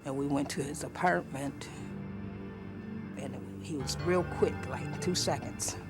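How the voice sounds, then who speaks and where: A woman's voice: sits low, measured pace, loud music behind the voice. A woman with an American accent, recounting events casually and vividly in an interview, in colloquial speech. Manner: colloquial, vivid retelling with quoted thoughts. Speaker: interviewee, attack survivor.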